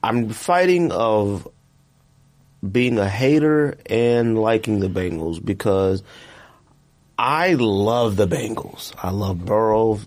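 A man's voice: on the low side, expressive, takes it slowly, darkish tone. An American man hosting a casual sports and sneaker podcast, speaking bluntly in slang and repeating himself for emphasis. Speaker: podcast host.

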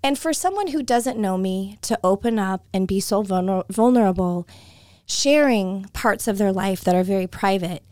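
Hoarse woman podcast host, chatty solo talk, dry close sound. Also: mid-range voice, normal speed, a little mellow, no echo. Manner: chatty and conversational, with frequent restarts. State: hoarse, voice coming and going.

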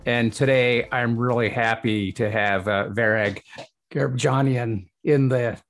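A man hosting a podcast interview over a video call, speaking in a relaxed, hesitant way and often restarting his phrases. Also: mid-range voice, slow, soft background music. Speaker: podcast host.

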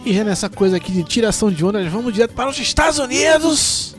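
A man's voice: sits high, lively intonation, quick pace, music in the background. A man with a São Paulo Brazilian accent and a cold, hosting a casual sports podcast in a rambling, self-deprecating, slangy way. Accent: São Paulo Brazilian Portuguese. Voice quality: congested from a cold. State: has the flu. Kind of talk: casual sports news chat.

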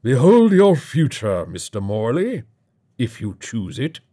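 A man voicing a smooth, menacing host of a secret bunker, speaking slowly and with deliberate theatrical weight. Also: mid-range voice, huge pitch swings, dark tone, voice pushed up. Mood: grandly menacing.

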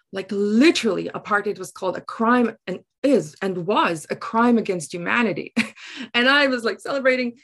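A middle-aged woman from South Africa with an Afrikaans accent, telling personal stories reflectively in an earnest way, often halting to search for words. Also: mid-range voice. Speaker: interview guest, writer. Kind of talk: reflective personal storytelling in conversation.

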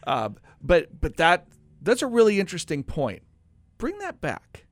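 A man's voice: sits high, wildly swooping pitch, a little slow, no room sound. A man hosting a talk radio show as a financial adviser, explaining things in an informal, folksy, conversational way. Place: radio studio.

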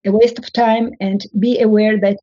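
A woman, a writing coach giving measured, instructive advice in an interview. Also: medium pitch, level tone, dark tone, no room sound, lo-fi sound. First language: French. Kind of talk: interview answers, advising.

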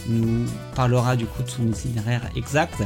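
A man's voice: medium pitch, little pitch movement, brisk, over music. Chatty male podcast host. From France. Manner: chatty, many fillers and restarts. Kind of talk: casual podcast interview.

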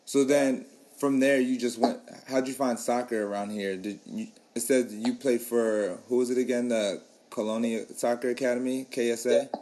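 A man's voice: mid-range voice, moderate pace, slight background noise. Male interviewer, mid-range voice, easygoing and encouraging. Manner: relaxed, encouraging prompts. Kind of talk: casual interview.

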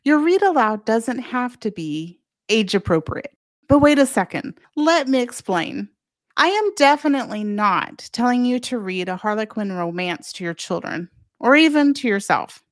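A woman's voice: on the high side, no echo, studio quality. A woman presenting a scripted solo podcast as its host, speaking directly and encouragingly to parents.

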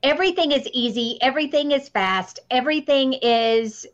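A woman's voice: sits high, moderate pace. A woman hosting a casual interview in an earnest, appreciative way, repeating words for emphasis. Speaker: interviewer, podcast host. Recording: slightly dull, lo-fi audio.

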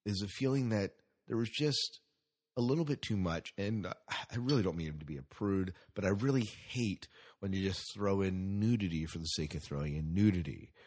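A man's voice: low voice, normal speed, darkish tone, slightly rough. A man hosting a podcast with casual solo commentary, rambling and hesitant, often repeating his phrases. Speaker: podcast host.

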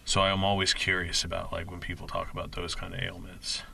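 Very deep-voiced chatty podcast host. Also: male voice, monotone, quick pace, creaky, bright, forceful tone, slightly rough. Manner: rambling, chatty. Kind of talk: casual podcast interview.

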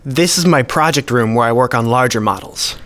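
An elderly gentleman collector , played by a man, speaks in a medium-pitched voice. He is courteous and contented, with an unhurried, matter-of-fact way of explaining things, as in a radio play. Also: natural voice. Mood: matter-of-fact, proud.

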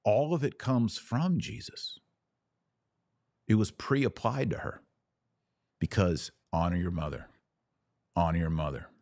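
Low-voiced man teaching with emphasis. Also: darkish tone, no echo. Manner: emphatic, didactic, with deliberate pauses on key words. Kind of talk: live theological teaching and viewer Q&A.

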